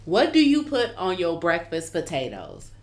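A woman's voice: lowish voice, huge pitch swings, moderate pace. A woman co-hosting a casual chat, playful and teasing, laughing often. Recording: echoey room.

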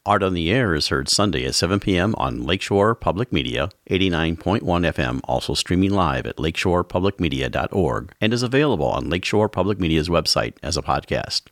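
Very deep male announcer voice, smooth, dry studio, reading credits. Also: no echo. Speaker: radio show host. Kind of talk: scripted broadcast outro.

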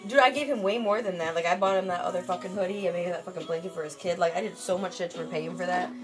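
A woman's voice: on the low side, very fast. A young woman from Michigan in casual late-night chat, rambling and swearing with lots of fillers. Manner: rambling, profane, filler-heavy. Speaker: vlogger. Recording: music playing behind.

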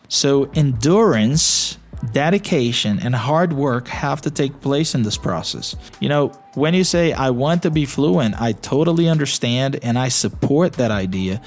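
A man from Brazil with a Brazilian accent, hosting an English-learning podcast in a conversational, encouraging teaching style, often checking in with "you know" and "okay?". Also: medium pitch. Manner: encouraging, asks listeners questions, frequent "you know" and "okay?".

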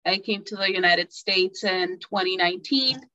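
A woman's voice: medium pitch, sharp tone, dry recording, muffled recording. A woman from El Salvador, a native Spanish speaker, speaking as a guest and searching for her words. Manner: slow, hesitant, searching for words, laughs shyly. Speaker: team member, guest.

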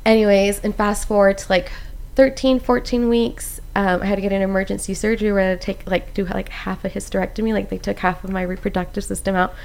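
A woman's voice: medium pitch, fast talker, vocal fry. A young woman from Costa Rica, a postpartum doula, telling her story in a casual chat, full of "like" and "um". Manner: animated storyteller, many "like"s and "um"s.

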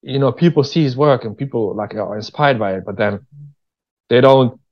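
A man's voice: mid-range voice, moderate pace, muffled recording. A man speaking as an interview guest in a casual, candid, slangy chat, often repeating phrases for emphasis. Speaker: interview guest, screenwriter and director.

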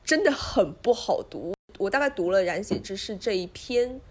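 A woman co-hosting a casual book discussion, expressive and dropping English words into her talk. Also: medium pitch, normal speed. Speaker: podcast co-host.

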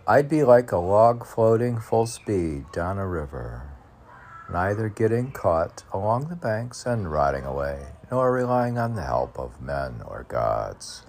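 Older guy rambling poetry podcast, really slow n gravelly, keeps asking himself stuff, bit of background noise. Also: on the low side, big pitch swings, darkish tone. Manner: musing, halting, questioning himself aloud. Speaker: poet reading own poems on a podcast. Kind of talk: rambling solo talk with poem readings.

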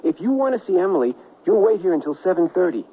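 Anxious young-hearted writer, pleading manner, higher lively voice, radio drama, narrow-band hissy sound. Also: male voice, fast, husky, heavily acted. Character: earnest, nervous writer caught up in a western intrigue. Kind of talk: 1970s radio drama acting. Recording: tinny, hissy 1979 radio recording.

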